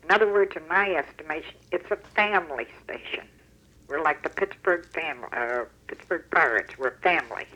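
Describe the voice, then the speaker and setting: A woman's voice: deep voice, fairly quick, bright, forceful tone. A woman calling in to a radio show over the telephone, chatting casually and with emphatic enthusiasm. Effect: telephone line. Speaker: regular phone-in caller. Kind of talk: casual chat on a radio phone-in.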